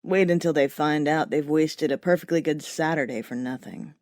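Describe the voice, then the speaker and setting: A woman voicing a smug, scornful dead male banker in an audiobook, with a gruff sardonic manner. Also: low voice, normal speed, darkish tone, no room sound, clean studio sound, pitched below the performer's own voice, lightly acted. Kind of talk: audiobook character voice.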